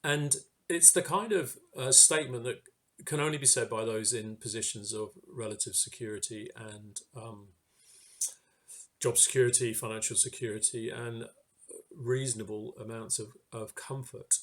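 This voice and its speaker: A man giving an informal sermon reflection in a hesitant, halting way, pausing mid-phrase. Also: mid-range voice, fairly even intonation, fairly bright tone. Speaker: church speaker giving a reflection.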